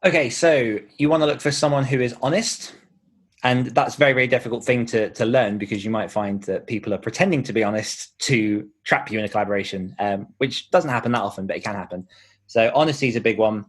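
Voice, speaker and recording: A man's voice: medium pitch, quick pace, breathy edge. A man speaking as an author guest in a conversational podcast interview, explaining things in an encouraging way.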